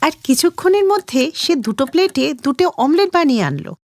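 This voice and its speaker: A woman reads scripted cartoon narration. Her voice is high with lively, wide intonation, and the recording is dry. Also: fast talker, no room sound.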